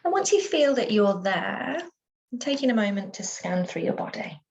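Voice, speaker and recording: A woman teaching yoga nidra, guiding a relaxation meditation in a soothing, unhurried manner with gentle pauses. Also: mid-range voice, sing-song, highs cut off.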